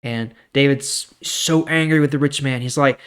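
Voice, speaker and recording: A man's voice: medium pitch, steady tone, normal speed, a bit bright, smooth. Plain-spoken man reading and explaining scripture. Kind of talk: scripture reading and informal commentary.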